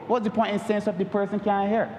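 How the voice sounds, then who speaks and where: A man's voice: flat delivery, fast talker, mellow, a little hiss or hum. A man with a Barbadian accent giving an emphatic parliamentary speech, repeatedly addressing the Speaker. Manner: oratorical, repeats "Mister Speaker, sir" as a refrain; emphatic, rises in pitch on key points. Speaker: member of parliament. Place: large parliament chamber. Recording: echoey chamber with long reverb.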